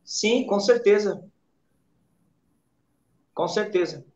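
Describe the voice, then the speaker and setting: A man with a Brazilian accent, a pastor answering interview questions in a hesitant, halting way. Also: high-pitched, expressive, darkish tone. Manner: hesitant, halting, with repeated verbal tags. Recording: echoey, dull lo-fi interview audio.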